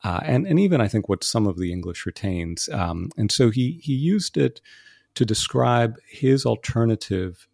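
A man being interviewed as a podcast guest, explaining ideas in a measured way with frequent "uh" and "um" fillers. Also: on the low side, vocal fry, no echo, studio quality. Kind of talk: thoughtful interview answers.

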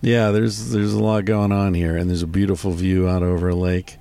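A man hosting a casual interview from the front of a parked van, relaxed and rambling with many restarts. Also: low-pitched, normal speed, gravelly, smooth. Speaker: host. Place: front seat of a parked van, door open, rain.

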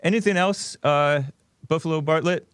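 A man hosting a call-in show, moving briskly between the caller and the guest. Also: on the high side, a bit bright, clean tone, no echo, clean studio sound. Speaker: show host.

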